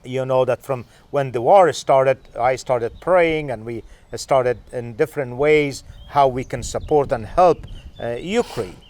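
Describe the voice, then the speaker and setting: A man from Afghanistan, hosting a podcast interview and asking questions in halting English full of "uh" fillers. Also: mid-range voice, darkish tone. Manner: halting, frequent "uh" fillers, non-native phrasing. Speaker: podcast host.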